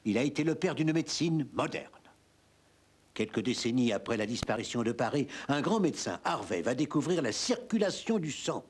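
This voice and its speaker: A man narrating a scripted historical documentary, with a husky voice. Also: mid-range voice, big pitch swings, normal speed, slight background noise.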